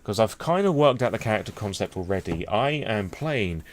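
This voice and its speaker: A man with a British accent and a fairly low voice talks in an unscripted vlog style. He is candid and informal, wandering through asides with self-deprecating remarks and casual swearing. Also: lively intonation, normal speed. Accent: British English. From England. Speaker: YouTube vlogger on tabletop roleplaying games. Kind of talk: impromptu casual vlog talk.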